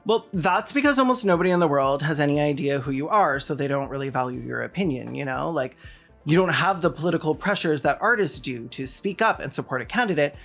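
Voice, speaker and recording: A video essayist with a gravelly, creaky voice delivers sardonic political commentary. The tone is mocking and pointed, over faint background music. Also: medium pitch, expressive, a little fast, fairly bright tone. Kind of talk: scripted sarcastic political commentary.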